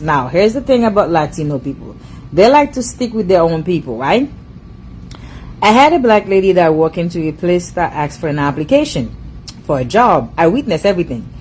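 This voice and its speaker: A woman speaks English with a foreign accent in a lowish voice. She lectures her audience in a casual, emphatic monologue and keeps circling back to the same points. A faint hum sits under the recording. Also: a little mellow, rough recording. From immigrant to the US. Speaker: social media commentator.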